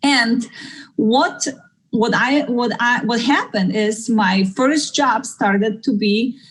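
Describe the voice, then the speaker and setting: Polish-accented woman presenter, higher voice, halting delivery, video call. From Poland. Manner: halting, restarts sentences. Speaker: guest presenter. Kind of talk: business presentation.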